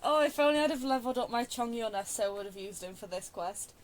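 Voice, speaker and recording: Girl streamer chatting over a playthrough, kinda high voice, playful, rambly. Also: lively intonation, quick pace, slight background noise. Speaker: gaming streamer. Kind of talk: casual playthrough commentary.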